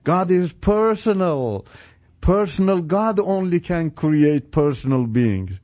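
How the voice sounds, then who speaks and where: A middle-aged man from Iran, an English speaker, sharing his story as a radio guest in an earnest, halting way with many restarts. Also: fairly high pitch, animated, warm tone, dry recording, studio quality. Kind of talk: personal testimony on radio. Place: radio studio.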